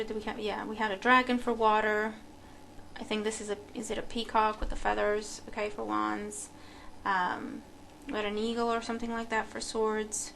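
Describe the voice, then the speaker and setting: A woman's voice: medium pitch, creaky, a bit bright, slight background noise, low-quality recording. A woman from Romania, a tarot reader who muses aloud while unboxing a deck at her table. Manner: musing, thinking aloud with fillers and restarts. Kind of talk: casual unboxing commentary. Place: home room at a table.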